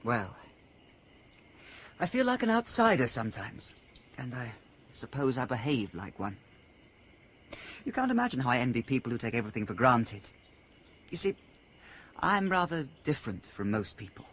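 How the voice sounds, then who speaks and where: A man's voice: mid-range voice, sing-song, normal speed, long pauses, a bit bright, slightly rough, poor audio. An actor playing an awkward, self-conscious man who feels like an outsider, in a radio drama. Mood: wry. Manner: hesitant, self-conscious, deferential. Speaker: Bernard Marx. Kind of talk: BBC radio drama acting.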